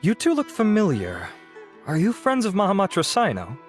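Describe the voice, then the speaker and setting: A man's voice: high-pitched, wildly swooping pitch, music in the background. A man playing a polite, helpful official in a video game, courteous and inquisitive. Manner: courteous, measured. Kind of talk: video game dialogue acting.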